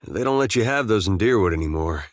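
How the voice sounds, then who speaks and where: Game companion: easygoing farmer turned adventurer, male actor, fairly deep, deadpan folksy banter, dry studio sound. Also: fast, dry recording, lightly acted. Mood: wry, easygoing. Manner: laid-back, deadpan, folksy drawl phrasing. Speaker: video game companion character.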